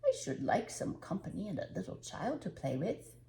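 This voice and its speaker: An older woman voicing a kindly, lonely old male shoemaker from a storybook, in gentle, wistful mutters. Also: medium pitch, sing-song, normal speed, slight background noise, lightly acted. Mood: wistful, tender.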